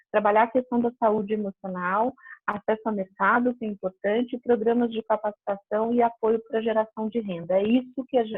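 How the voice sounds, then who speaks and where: A middle-aged woman talks briskly on a video call and ticks off her points one by one. Her voice is husky. The line is tinny and phone-like, with a dry, close sound. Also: medium pitch, dry recording. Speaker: founder of an entrepreneurs network. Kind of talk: panel discussion.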